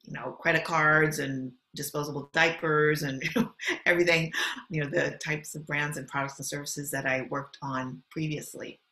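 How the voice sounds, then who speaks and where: A middle-aged American woman from Los Angeles, answering interview questions in an articulate, measured way. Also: deep voice, fairly even intonation, pushed and bright. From Los Angeles, USA. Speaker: guest. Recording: roomy echo.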